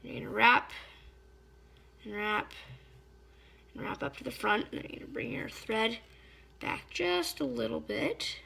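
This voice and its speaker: A slightly hoarse woman giving a casual hands-on fly-tying tutorial, chatty and often stopping to search for a word. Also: fairly high pitch, expressive, a little slow, pauses often, pushed and bright. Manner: chatty, stops to search for words.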